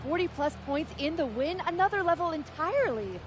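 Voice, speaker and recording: High-voiced female sideline reporter. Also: lively intonation, brisk, background noise. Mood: professional, impressed. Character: bright, professional female courtside sports reporter.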